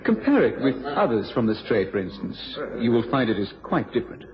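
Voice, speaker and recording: A man plays a superior, self-assured young gentleman collector in an old radio play. He talks briskly in formal phrases at a medium pitch. The recording is dull, with a crowd behind. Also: big pitch swings, close to an everyday voice. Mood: knowing, superior. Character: smooth-talking, knowing young male coin collector. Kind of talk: British period radio drama acting.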